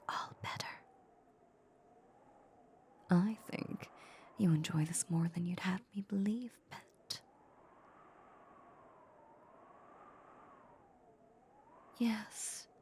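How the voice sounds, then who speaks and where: Woman playing a slow, whispering, possessive vampire countess. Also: fairly low pitch, exaggerated voice. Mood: teasing, doting. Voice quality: soft, breathy, largely whispered. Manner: slow, coaxing, intimate murmur. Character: possessive, tender vampire countess, doting and lonely. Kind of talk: intimate whispered ASMR roleplay audio drama.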